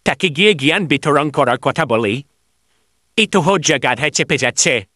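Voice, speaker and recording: Casting: male actor for eager flattering cartoon villager, sharp bright tone, slightly high, insistent. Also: normal speed, no echo, studio quality. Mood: confident. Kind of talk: animated cartoon dialogue.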